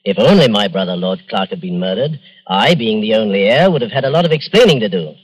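Smug, suave gentleman killer, 1940s radio mystery, very animated intonation, condescending. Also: lowish voice, fast, slightly rough, low-quality recording. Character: smug gentleman murderer confessing his scheme coolly.

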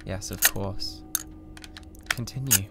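A British man playing a cold, condescending mad scientist with a god complex in an ASMR roleplay, speaking in a deliberate, theatrical way. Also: low-pitched, creaky, very noisy, exaggerated voice. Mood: grandiose, controlled. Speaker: ASMR voice actor. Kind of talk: ASMR roleplay, scripted drama.

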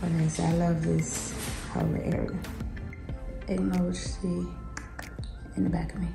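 Raspy-voiced woman chatting casually. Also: fairly low pitch, flat delivery, normal speed, reverberant. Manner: chatty, laid-back, drifts into soft asides. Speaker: home vlogger. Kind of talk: casual home tour vlog. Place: living room in a house. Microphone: phone camera held or set on a table. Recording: background music under the voice.

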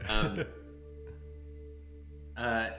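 A man's voice: fairly low pitch, very slow, pushed and bright. A man talking casually and off the cuff in an unscripted panel chat. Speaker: software team lead. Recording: roomy echo, music underneath. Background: background music.

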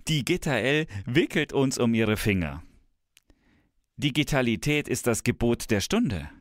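A man's voice: medium pitch, very animated, a little slow, dry recording, clean studio sound. A man playing a smug, tech-enthusiast professor in a scripted comic dialogue, speaking emphatically and theatrically. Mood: smug, enthusiastic. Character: smug male professor, enthusiastic believer in technology. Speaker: podcast co-host in a comic professor role. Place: radio studio. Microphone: studio microphone.

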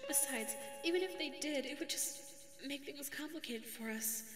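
A woman's voice: sits high, normal speed, close to an everyday voice. A woman voicing a sweet, anxious female dog secretary with a crush, speaking hesitantly in an ASMR roleplay. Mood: hesitant wondering. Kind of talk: ASMR audio roleplay monologue. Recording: echoey reverberant sound with background music. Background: music.

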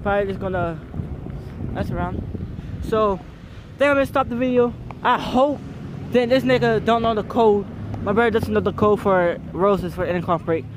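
A man's voice: sits high, moderate pace. A young man talking casually and loosely to viewers while filming with a handheld camera, indoors and then outside. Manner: slangy, loose, talks to viewers while moving. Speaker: vlogger filming friends. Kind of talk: casual vlog chat. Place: store aisles, then outdoors in parking lot and street. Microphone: handheld or body camera. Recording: noisy handheld camera audio.

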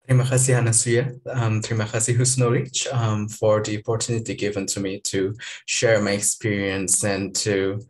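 A man in his twenties from Indonesia, with an Indonesian accent, speaking earnestly and personally on an online panel over a video call, pausing with frequent ums. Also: on the low side, level tone. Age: 20s. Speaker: panelist, researcher. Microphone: webcam on a video call.

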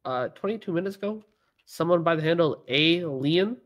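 A man with a fairly high voice role-plays a police officer in an online game. He chats casually and hesitantly, sounding bemused as he feels out what to say. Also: expressive, normal speed. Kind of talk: casual improvised in-game role-play chat.

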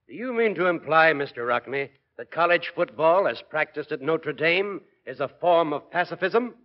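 Actor as a formal academic questioner, muffled old radio. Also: male voice, fairly high pitch, lively intonation, normal speed, natural voice. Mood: formal, probing. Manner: formal, precise. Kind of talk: 1940s radio acting. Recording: muffled 1940s radio broadcast recording.

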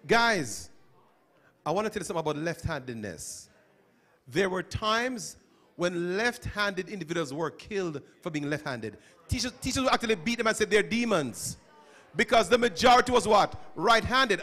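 A middle-aged man teaching students at a school chapel, questioning and calling on them, on a handheld microphone. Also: high voice. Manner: animated, questioning, calls on listeners. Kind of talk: interactive talk to students.

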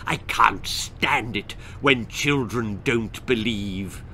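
A man voicing a judicious, sly male toad advisor, huffy and deliberate, in a children's story. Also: fairly low pitch, bright, forceful tone, a little hiss or hum, full character voice. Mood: indignant.

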